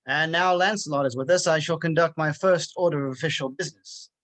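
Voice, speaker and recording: A man reading the part of a formal male spy chief in an amateur online table read, in a measured, formal manner. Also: a little high, a bit bright, dry recording, close to an everyday voice.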